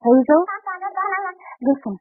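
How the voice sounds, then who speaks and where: A thin, narrow old broadcast sound carries a young American housewife in a 1930s radio comedy. She is fairly high-pitched with a husky edge, sweetly coaxing and gently persistent as she schemes. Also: steady tone, slow pace, dry recording, faint music behind. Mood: chatty.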